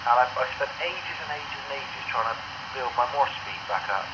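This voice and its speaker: An English man chats casually over amateur radio. He rambles in a self-deprecating way. The transmission is muffled, with clear noise around the voice. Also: medium pitch, lively intonation, moderate pace. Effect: two-way radio transmission.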